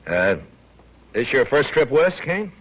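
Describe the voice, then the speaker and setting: An American actor playing an easygoing but watchful frontier marshal in a 1950s radio western, on a muffled old recording. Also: male voice, mid-range voice, sing-song, takes it slowly. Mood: friendly, easygoing.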